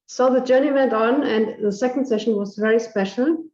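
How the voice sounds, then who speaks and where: A woman coach interviewing a client, asking gentle questions with pauses. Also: a little high, echoey room, dull audio. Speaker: coach and host.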